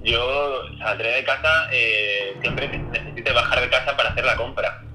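A man's voice: medium pitch, normal speed, sharp tone, slight background noise. A young man with an accent from Spain, talking defensively and hesitantly over the telephone. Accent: Spanish from Spain. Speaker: prank call victim. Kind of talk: defensive phone conversation. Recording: phone call with background music.

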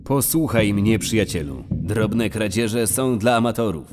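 A man's voice: on the low side, lively intonation, unhurried. A man voicing a persuasive seasoned criminal, coaxing a cellmate in a conspiratorial manner. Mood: coaxing, sly. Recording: long reverb, music underneath.

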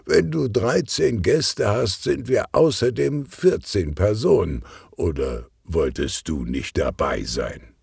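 A man acts out a jovial older police detective from a children's audiobook. The voice is husky and lively, good-humoured and a little bumbling. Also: fairly low pitch, slow, few pauses, dry recording, studio quality, pitched above the performer's own voice, exaggerated voice. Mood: teasing.

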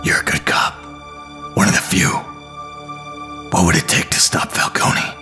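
A man's voice: a little slow, lightly acted. A man voicing a grim, brooding young masked vigilante in an audio drama, speaking tersely and ominously. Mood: menacing. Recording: long reverb under loud music.

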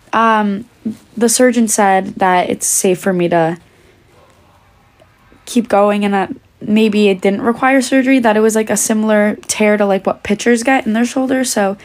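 A woman's voice: medium pitch, darkish tone. A young woman chatting on a phone livestream, answering fan questions while she is losing her voice. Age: young adult. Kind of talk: casual livestream chat. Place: indoors, small room. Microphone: phone held at arm's length.

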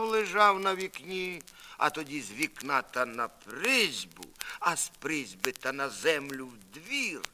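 A man narrating a children's fairy tale as an expressive, theatrical folk storyteller. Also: fairly high pitch. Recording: vinyl record transfer with music.

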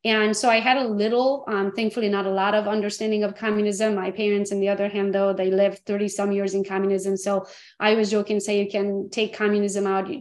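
A woman in her forties with a Romanian accent, a guest telling her story on a video call in a lively way. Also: medium pitch, a little fast, reverberant. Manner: lively storyteller, laughs often. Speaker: guest, real estate agent. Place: home office with pink neon.

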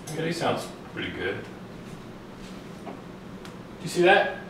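A middle-aged father in casual family chat, calm and brief, filmed outdoors in a snowy forest and in a cabin kitchen. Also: fairly high pitch, slow pace, background noise. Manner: calm, brief, matter-of-fact. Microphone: camera from a distance. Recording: echoey, distant camera, music behind.

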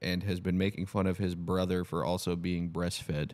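A man's voice: deep voice, flat delivery, moderate pace, a little mellow. A man co-hosting a comedy podcast, telling stories in a laid-back way. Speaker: podcast co-host. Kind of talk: casual comedic banter.

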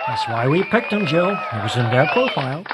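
An actor playing a cold, cynical television director in an audio drama, with a clipped, businesslike manner. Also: male voice, a little high, sing-song, normal speed, a crowd in the background, noisy background. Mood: cold, calculating. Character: cold, cynical male TV director in control room.

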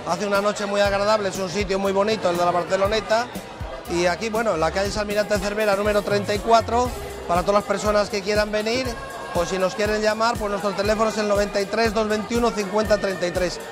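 A man's voice: sits high, fairly even intonation, fast talker, a crowd in the background. A middle-aged man from Zamora, a restaurant manager, explaining his busy terrace restaurant to camera on a lapel mic. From Zamora, Spain. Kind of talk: guided tour talk. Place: busy restaurant terrace under a tent at night. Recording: background music under the voice.

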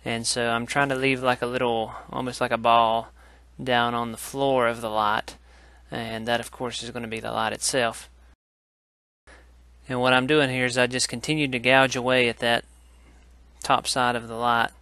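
A man's voice: medium pitch, flat delivery, normal speed, sharp tone, smooth, no echo. A man narrating a hands-on craft tutorial in a casual, instructional style. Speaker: woodcarving tutorial host.

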